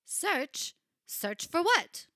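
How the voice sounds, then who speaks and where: A woman acting in a radio play as a grave, knowing churchwoman, telling of dark things in a measured, dramatic way. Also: very high-pitched, big pitch swings, no echo, studio quality, full character voice. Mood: polite, knowing. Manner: measured, solemn, dramatic storytelling.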